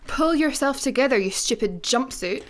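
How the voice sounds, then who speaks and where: An actress playing a bossy but soothing young jobseeker in a radio comedy, with a brisk, dryly teasing manner. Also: female voice, high voice, expressive. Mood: bossy calming. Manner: brisk, instructing, dry. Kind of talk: radio sci-fi comedy acting.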